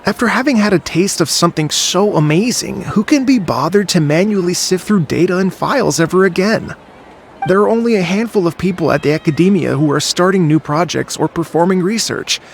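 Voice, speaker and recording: Lazy young researcher, kinda high, gravelly, stumbles over words. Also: male voice, brisk, slight background noise. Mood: explaining. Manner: hesitant, stammering, chatty. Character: slacking young male researcher, easygoing and evasive. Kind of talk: game voice acting.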